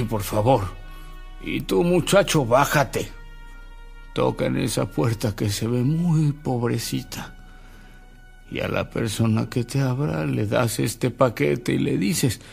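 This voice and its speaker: A humble older gentleman in a radio drama, savouring fond anecdotes. His voice is very gravelly and husky, and he takes his time, with soft music underneath. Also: medium pitch, heavily acted. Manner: hesitant, savouring, acts out quoted dialogue.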